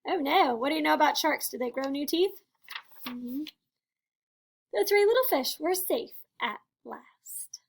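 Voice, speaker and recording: A woman teacher doing an expressive storybook read-aloud for first graders, chatting to them and asking questions. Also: sits high, unhurried, a little hiss or hum. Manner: expressive, addressing young children with questions. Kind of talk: animated children's read-aloud.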